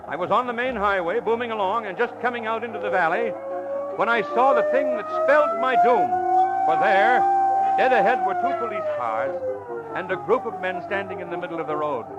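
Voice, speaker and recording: A man's voice: high voice, wildly swooping pitch, brisk, dull audio, over loud music. An actor playing a genteel older con-man professor in an old radio suspense drama, avuncular and wordy, given to flustered stammering. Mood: grave confession.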